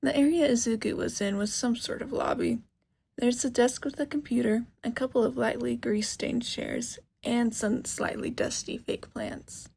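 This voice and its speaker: Female audiobook-style narrator: fairly high voice with plenty of fry and a breathy, husky edge. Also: fairly even intonation, normal speed, a little mellow. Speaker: narrator, fan fiction reader. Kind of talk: scripted story narration.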